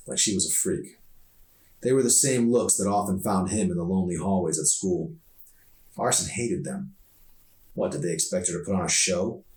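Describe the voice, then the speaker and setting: A man reading his own novel aloud as an author, in expressive audiobook narration. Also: low-pitched. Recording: echoey room, distant mic.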